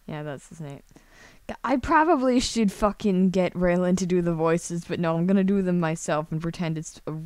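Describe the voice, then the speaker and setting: A woman's voice: fairly low pitch, normal speed, a little mellow, clear, resonant tone, dry recording. A young woman chatting casually on a drawing livestream, rambling hesitantly with frequent swearing and self-deprecation. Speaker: streamer. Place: indoors at a computer, screen-share livestream.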